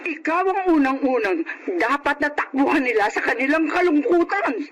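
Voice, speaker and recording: A man's voice: very high-pitched, animated, normal speed, a bit bright. A man playing a stern older sister in a Filipino melodrama, scolding in an emphatic, reproachful way.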